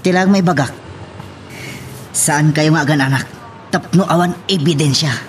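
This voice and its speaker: A man's voice: a little high, moderate pace, loud music behind the voice, natural voice. A man playing a teasing, skeptical drinking buddy in an Ilocano audio drama. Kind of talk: Ilocano radio drama acting.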